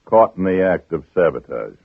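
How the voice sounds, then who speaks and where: An actor playing a smug, stern Union army captain, formal and authoritative, in old radio drama style. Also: male voice, deep voice, big pitch swings, moderate pace, slightly rough, no room sound, full character voice. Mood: smug, cold. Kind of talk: 1940s-50s radio drama acting. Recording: muffled narrow-band old radio recording.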